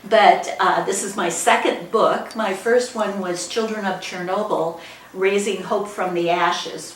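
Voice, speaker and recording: An older woman with an American accent gives an informal book talk as a guest author, speaking haltingly with frequent pauses mid-sentence. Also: fairly low pitch, fairly bright tone. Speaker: guest author and former newspaper editor. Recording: echoey room, distant mic, background noise.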